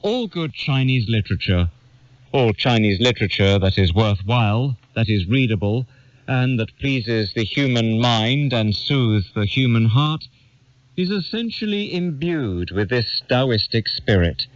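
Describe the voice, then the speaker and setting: A man reads a literary essay aloud in a measured, formal, declamatory way. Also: medium pitch, expressive, no echo, dull audio. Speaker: reader of an essay. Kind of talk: scripted narration, reading a literary essay aloud.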